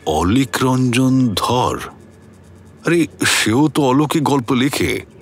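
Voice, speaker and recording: A man in a radio drama playing a genial older learned mentor, amused and assured, explaining things in an avuncular, expressive way. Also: medium pitch, slow, faint music behind. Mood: surprised. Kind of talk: Bengali radio drama acting.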